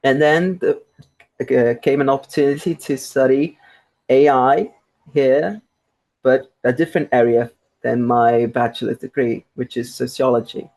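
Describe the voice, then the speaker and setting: Young Brazilian man, Brazilian Portuguese accent, halting speech, many restarts, remote call from home. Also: mid-range voice, fairly even intonation, a little mellow, low-quality recording. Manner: halting, frequent restarts and fillers. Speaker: guest researcher. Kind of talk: conversational interview.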